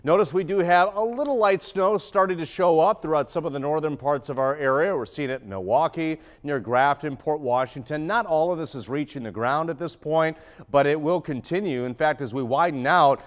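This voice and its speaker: A man's voice: fairly high pitch, big-room sound. An American man giving an ad-libbed television weather forecast.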